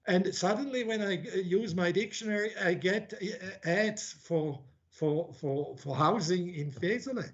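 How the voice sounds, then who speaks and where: Man from Switzerland, high voice, blunt academic lecture, frequent restarts, muffled lo-fi sound. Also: unhurried, hardly any pauses. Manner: thinking aloud, with many restarts and repeated words; blunt, informal asides. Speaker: academic speaker. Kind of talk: conference talk.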